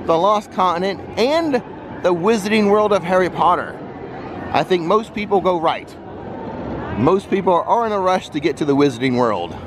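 A man narrating an unscripted walking tour as a vlogger and guide, speaking directly to viewers and pointing out sights. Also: a little high. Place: outdoors, walking through a busy theme park. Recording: outdoor ambient noise of busy park.

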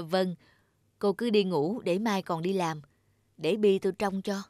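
A woman's voice: medium pitch, slow, warm tone, no room sound, studio quality, lightly acted. A woman voicing a humble rural housemaid and nanny in a cartoon, modest, deferential and soothing. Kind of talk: animated cartoon dialogue. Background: music.

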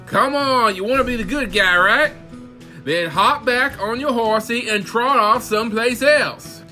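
A man's voice: very high voice, measured pace, bright, forceful tone, soft background music, pitched above the performer's own voice, full character voice. A man voicing a cheeky Old West bank robber in a cocky, folksy, taunting manner. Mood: cocky threat.

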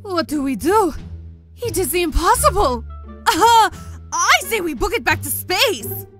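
A woman voicing an anxious, regal female dragon in an animated comic dub, speaking formally and dramatically. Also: extremely high pitch, unhurried, exaggerated voice. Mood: panicked. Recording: music behind the voice.

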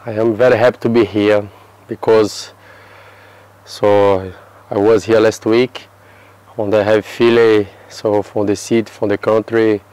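A man in his thirties from Brazil, answering interview questions in halting English with a Brazilian Portuguese accent and many restarts. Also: fairly low pitch, level tone, darkish tone, clear, resonant tone, low-quality recording. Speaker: football player. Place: outdoor terrace by a yellow building.

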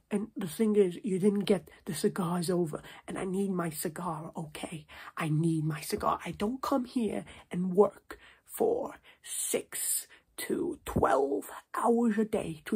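A young man voicing a stern, scolding New Jersey mob boss in a hushed, husky ASMR roleplay. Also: sits high. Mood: demanding. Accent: put-on New Jersey mobster. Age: 20s. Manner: repetitive, slangy, stern with checking tags. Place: small domestic room, indoors.

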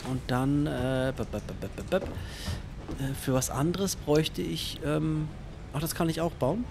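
A man's voice: a little high, fairly even intonation, a little slow, vocal fry, background noise. A man giving casual let's play commentary, thinking aloud and chatting to himself and his viewers.

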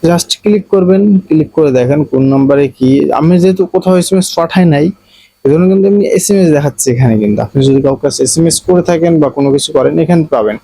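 A man's voice: a little high, fast talker, mellow, dry recording. A man with a Bangladeshi accent narrating a casual step-by-step phone tutorial, explaining repetitively and addressing viewers directly. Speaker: tech tutorial host.

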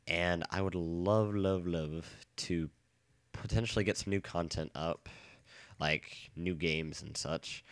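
A young man with a bad cold and a nasal, stuffy voice, chatting casually and rambling excitedly while playing a game. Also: low voice, dry recording. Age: young adult. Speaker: let's play gamer. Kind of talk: casual gameplay commentary. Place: dorm room.

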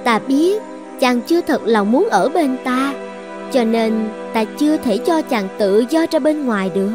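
A woman's voice: high-pitched, lively intonation, unhurried, music in the background. A woman voicing a possessive, lovesick sorceress princess in a cartoon fairy tale, commanding and coaxing with an edge of menace.

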